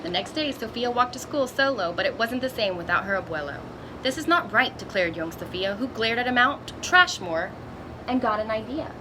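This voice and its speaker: A woman with a fairly high voice reads a children's story aloud. She is expressive and acts out exclamations as she goes, pausing for asides to her young listeners. Clear background noise runs under the homemade recording. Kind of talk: picture-book read-aloud with asides to children.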